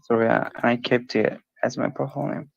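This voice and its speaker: A man from Nepal chats in a relaxed, friendly way, filling his speech with small fillers. His voice has a gravelly fry. The call audio sounds dull and lo-fi, and the sound is dry. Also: mid-range voice, fairly quick, dry recording. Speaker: online chat partner. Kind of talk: casual chat.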